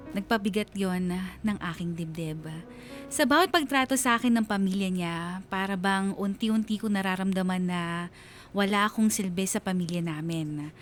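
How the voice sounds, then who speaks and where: A man's voice: high voice, natural voice. A man playing a sorrowful, abandoned husband narrating his story in a radio drama, with a Filipino accent.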